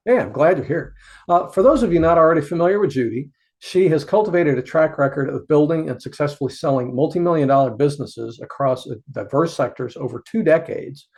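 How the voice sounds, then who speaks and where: A man's voice: fairly high pitch, lively intonation, fairly quick, a little mellow. A man hosting a podcast interview, introducing his guest and asking questions in a friendly, hesitant way. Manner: friendly, hesitant, many fillers and restarts when asking. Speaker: podcast host.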